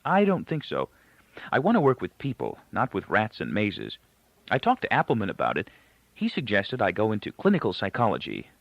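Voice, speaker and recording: A man reading the part of an earnest, soft-spoken young male student who explains things in a measured, thoughtful way. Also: on the low side, huge pitch swings, creaky, no echo, heavily acted. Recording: dull, lo-fi, close dry recording.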